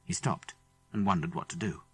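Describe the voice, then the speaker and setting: A man's voice: deep voice, a little fast, husky. A man with a British accent reading a children's adventure story expressively, building suspense as he narrates. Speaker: audiobook narrator.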